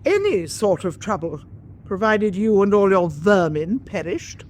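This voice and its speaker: A woman's voice: mid-range voice, very animated, a little slow, darkish tone, a little hiss or hum. An actress playing a bitter, unrepentant female saboteur held prisoner in a wartime radio drama, speaking with defiant scorn. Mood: bitter defiance.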